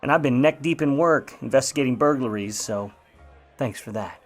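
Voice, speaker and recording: An actor playing a wry, grudging, hard-boiled Hollywood private eye of the 1950s in old radio noir style, full of slangy wisecracks. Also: male voice, medium pitch, moderate pace, faint music behind, lightly acted. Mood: sardonic, needling. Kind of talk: old-time radio noir acting with narration.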